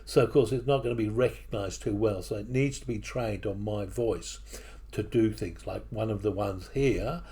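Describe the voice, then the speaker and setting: A man with a mixed English and New Zealand accent, walking through software on his computer in a casual, hesitant way with many fillers. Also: on the low side, moderate pace, breathy edge. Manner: hesitant, with frequent fillers and restarts. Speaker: software tutorial presenter. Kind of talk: casual screen-recording walkthrough. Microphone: desktop microphone at a computer.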